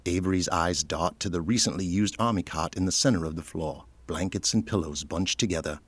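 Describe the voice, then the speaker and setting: Casting: male narrator for audio drama, deep voice, scripted scene-setting read. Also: brisk, fairly bright tone, slightly rough, dry recording.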